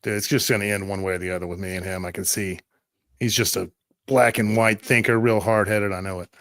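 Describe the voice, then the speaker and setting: A man's voice: fairly low pitch, fairly even intonation, fairly quick, fairly bright tone, dry recording, clean studio sound. A man playing a laid-back, grumbling young musician servant in a roleplay game, talking casually in slang.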